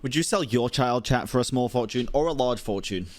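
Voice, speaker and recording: A man's voice: medium pitch, fairly quick, a little hiss or hum. Irreverent young male game streamer. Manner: joking, irreverent, sweary. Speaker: livestreamer. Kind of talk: casual reaction commentary to chat. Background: game music.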